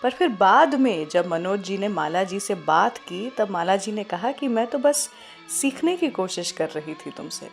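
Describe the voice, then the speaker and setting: An Indian woman presents a radio show in a conversational, storytelling way. Her voice sits at an ordinary female pitch, with music playing behind her as she speaks directly to listeners. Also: lively intonation, a little slow, vocal fry. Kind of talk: scripted radio presenting with film trivia and song introductions.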